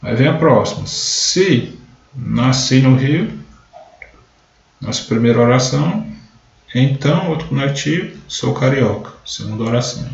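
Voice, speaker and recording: A slow-spoken man with a gravelly edge teaches logic, walking through each example step by step. His voice sounds dull and lo-fi, recorded in a roomy, echoing space. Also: medium pitch, expressive. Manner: slow, step-by-step, often starting with "então". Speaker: math teacher. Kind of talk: live online lesson explaining logic. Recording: echoey room, dull lo-fi sound.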